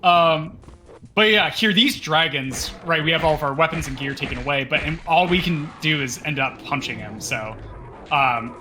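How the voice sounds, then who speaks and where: A man's voice: a little high, normal speed, music in the background. A man giving casual, explanatory live commentary on a video game speedrun.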